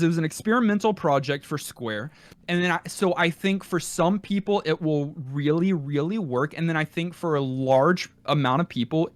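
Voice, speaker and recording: A man with a slightly high voice talks through his opinion in a casual review discussion. He tends to repeat and rework phrases as he goes. The recording is close and dry. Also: no echo. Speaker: podcast co-host.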